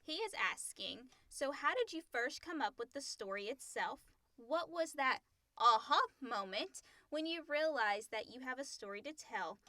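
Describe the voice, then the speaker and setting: A woman co-hosts a podcast, moving between casual chat and reading questions aloud. Her voice is very bright and a little high. It is recorded dry, with hardly any room sound. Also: animated, measured pace, no room sound.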